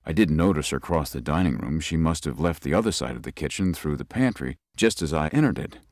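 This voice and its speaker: Casting: man playing a young lawyer narrating a ghost story, deep but lively voice, dry close sound. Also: no room sound. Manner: measured, tells the story with rising unease. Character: young male lawyer recuperating in the country. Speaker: narrator and lead.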